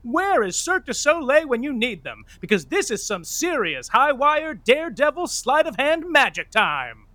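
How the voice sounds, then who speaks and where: An actor playing a flamboyant, gushing narrator of a Broadway comedy, with an arch, dramatic delivery. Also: male voice, extremely high pitch, big pitch swings, normal speed, few pauses, bright, forceful tone, dry recording, full character voice. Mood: arch, excited. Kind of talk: theatrical comic narration.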